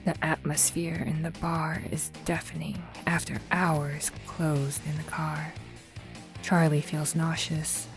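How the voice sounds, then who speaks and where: A woman narrating a scripted audio drama in a measured, suspenseful storytelling manner. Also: sits low, vocal fry. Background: faint music.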